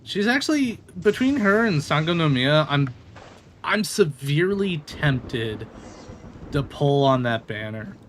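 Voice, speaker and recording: A man doing casual live gameplay commentary in a playful, expressive way. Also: on the high side, a little slow, slight background noise. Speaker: game streamer. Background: music.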